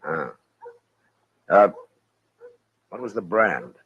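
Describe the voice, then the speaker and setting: An American man acting a stern, weary Old West marshal in a radio Western, speaking in a gruff, terse, authoritative way. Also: low voice, animated, drawn-out words, fairly bright tone, dry recording, heavily acted. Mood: dry, probing. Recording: muffled old radio recording.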